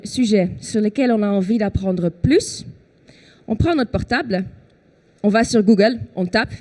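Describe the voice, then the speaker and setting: A woman's voice: a little high, warm tone. A Dutch woman speaking French with a Dutch accent, giving a conference talk on a trade show stage and often correcting herself. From Netherlands. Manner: explanatory, with many fillers and self-corrections.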